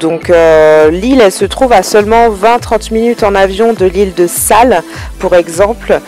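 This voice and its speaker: A woman from France narrating a travel vlog in a relaxed, chatty way, with frequent hesitations. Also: mid-range voice, moderate pace, soft background music. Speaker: travel vlogger.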